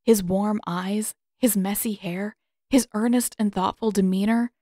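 A woman's voice: medium pitch, steady tone, a little slow, warm tone, no room sound. A woman reading scripted fiction as an audiobook narrator, close to the microphone.

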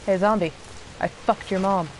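A woman's voice: medium pitch, fairly even intonation, moderate pace. A young woman doing casual live game commentary, profane, playful and sarcastic, talking as a streamer. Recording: noisy, game sound behind voice. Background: video game ambience.

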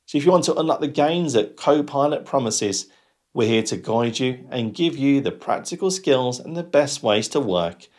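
A man in his forties presents a software tutorial to the viewer. His pitch sits in the middle and moves up and down a great deal as he explains. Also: lively intonation, normal speed. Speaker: tutorial host.

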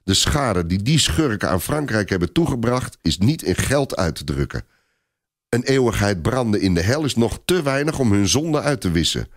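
Man playing a gruff, unyielding medieval war commander. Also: fairly low pitch, big pitch swings, moderate pace. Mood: grim, vengeful. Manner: unyielding, snapping.

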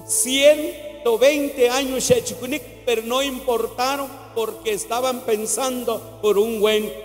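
High-pitched Guatemalan preacher man in echoing hall. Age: middle-aged. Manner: emphatic, exhortatory, repeating phrases. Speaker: evangelist preacher. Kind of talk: impassioned sermon mixing Spanish with a Mayan language. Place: large church hall, indoors. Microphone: handheld microphone through PA. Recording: long hall reverb, live church amplification. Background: live music.

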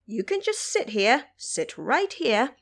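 A brash monkey disciple with magic powers acted out by a woman in an audio drama. He is boastful and short of patience, his voice riding high and swinging widely in pitch. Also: sing-song, takes it slowly, vocal fry, a bit bright, heavily acted. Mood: exasperated, angry. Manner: brash, impatient, ranting.

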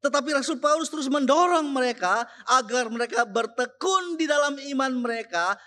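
A young man giving a chapel sermon to students, slow and emphatic, repeating key phrases. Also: very high voice, pushed and bright. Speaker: chapel speaker and teacher. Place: school chapel.

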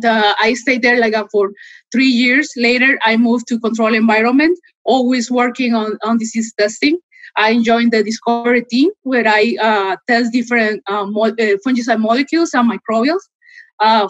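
Costa rican woman, kinda higher voice, lots of uh, dull dry call audio. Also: no echo. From Costa Rica. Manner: fast, with frequent uh fillers. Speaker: guest expert. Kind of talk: casual interview answers. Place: living room, indoors. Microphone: laptop mic, video call.

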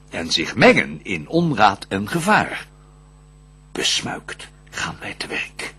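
An actor playing Lucifer, a vengeful, scheming fallen angel, in a theatrical radio play, declaiming in a husky, raspy voice that swings from hushed to roaring. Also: male voice, medium pitch, exaggerated voice. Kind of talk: theatrical radio drama verse monologue.

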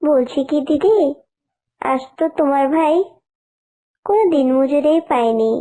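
Humble fox wife in a cartoon, female performer: very high, dark-toned voice, needy and coaxing. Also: animated, a little slow. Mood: meek, asking. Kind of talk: cartoon dialogue.

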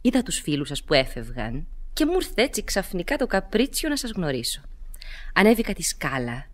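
A woman's voice: fairly low pitch, big pitch swings. An actress playing an elegant, mysterious, flirtatious lady in a Greek radio play, speaking in a coy, theatrical, teasing way.

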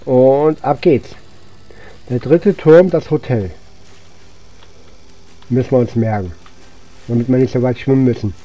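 A man's voice: medium pitch, a little slow, long pauses, mellow, rough recording. A man giving casual let's play commentary as host, musing aloud to himself as he goes. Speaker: gaming channel host.

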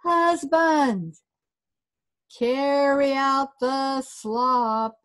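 A woman's voice: high-pitched, drawn-out words, a bit bright, voice pushed up, heavily acted. A woman voicing a practical, kind-hearted old wife in a folktale, decisive and kindly. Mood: calling out.

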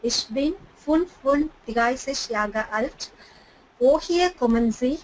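Careful high-voiced woman student reading. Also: animated, slow, low-quality recording. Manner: careful, apologetic. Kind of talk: reading a text aloud in class.

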